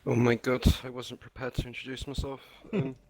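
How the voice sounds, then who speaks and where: A man's voice: mid-range voice, level tone, fairly quick, no echo. Flustered man on a dry mic. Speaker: guest gamer. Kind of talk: casual voice chat.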